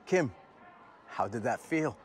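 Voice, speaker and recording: A courteous male sports interviewer. He speaks in a medium-pitched voice, in the style of a broadcast post-match interview. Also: animated, slow. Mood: courteous, upbeat. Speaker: pitch-side reporter.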